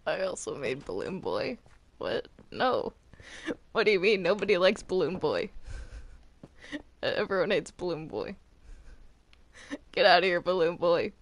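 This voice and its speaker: Lively gamer with a creaky, stammering voice. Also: medium pitch, measured pace, long pauses. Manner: excitable, stammering, repeats words. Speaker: gamer roleplaying in a video game. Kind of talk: casual gameplay chat during Minecraft roleplay.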